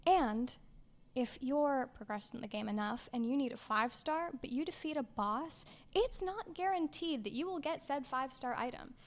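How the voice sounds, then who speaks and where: A woman co-hosting a casual gaming podcast, talking in a lively, playfully dramatic way and laughing often. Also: high-pitched, normal speed. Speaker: podcast co-host.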